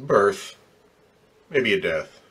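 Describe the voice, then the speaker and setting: An actor playing a gruff, cynical, impatient ambulance driver in an old-time radio drama, with brusque streetwise talk. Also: male voice, on the low side, big pitch swings, extremely slow, fairly bright tone, exaggerated voice. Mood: weary, cynical.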